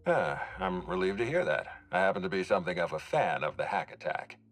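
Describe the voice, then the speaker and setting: An actor playing a stern, courteous middle-aged official in a video game, speaking in a measured, formal, articulate way. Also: male voice, sits low, big pitch swings, a bit bright, soft background music. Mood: measured, serious.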